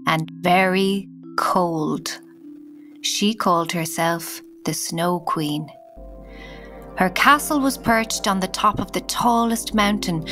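A woman narrating a children's fairy tale as a storyteller, measured and deliberate. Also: medium pitch, fairly bright tone. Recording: music underneath.

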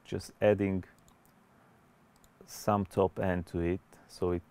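Give this to a man in his forties with a very deep voice and a lot of fry in it. He explains mixing like a studio instructor, in measured, slightly hesitant phrases. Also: flat delivery. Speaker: music producer and mixing instructor. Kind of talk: explanatory webinar tutorial. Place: indoor recording studio.

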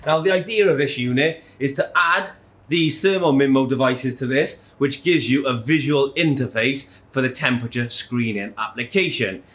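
A man's voice: medium pitch, fairly bright tone. A man in his thirties giving an informal hands-on tutorial, explaining a product step by step. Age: 30s. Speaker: product demonstrator. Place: small indoor showroom.

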